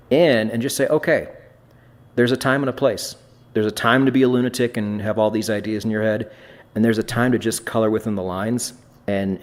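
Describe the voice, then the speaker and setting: A man hosts a podcast in a casual, blunt way, leaning hard on his points and swearing without a second thought. His voice sits a little on the low side. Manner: blunt, profane, emphatic. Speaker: podcast host.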